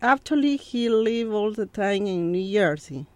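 A woman from Peru in her thirties with a Peruvian Spanish accent, a guest in an interview, speaking halting English with many fillers. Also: mid-range voice, animated, darkish tone, no echo. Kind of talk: interview conversation.

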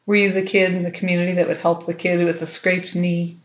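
A woman's voice: fairly low pitch, flat delivery, brisk, roomy sound, highs cut off. A woman interviewing a guest, asking attentive and encouraging questions.

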